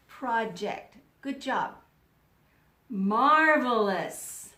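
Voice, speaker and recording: A woman teaching young children in a read-aloud lesson, speaking deliberately and instructively, in the manner of an elementary school teacher. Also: on the high side, fairly bright tone. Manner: deliberate, instructional, repeats words for pupils to echo. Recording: roomy, echoing sound.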